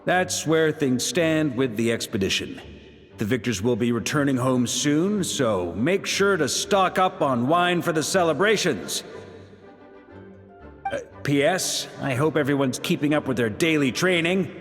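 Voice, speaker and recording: A man's voice: fairly high pitch, animated, unhurried, long reverb tail, faint music behind. A man voicing a cheerful grand master of knights, speaking in a hearty, good-humored way.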